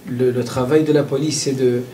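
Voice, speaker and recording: Man from Belgium, interview archive clip, halting and repetitive, dark tone, slightly off-mic. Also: mid-range voice, level tone, far from the mic, slight background noise. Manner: halting, many fillers and repeated words. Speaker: interviewee, group spokesman.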